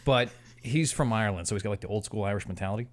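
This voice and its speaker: A man's voice: lowish voice, very fast. A man chatting casually as a podcast guest, telling stories and laughing often. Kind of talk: casual chat. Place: podcast studio.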